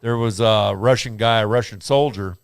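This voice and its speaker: A man's voice: fairly low pitch, moderate pace, gravelly, clean tone, no room sound, clean studio sound. A man co-hosting a casual podcast, rambling loosely and laughing often. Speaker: co-host.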